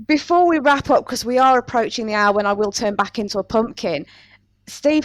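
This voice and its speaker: A woman's voice: medium pitch, no room sound. A woman hosting a podcast in a casual, articulate, playful chat. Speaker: podcast host.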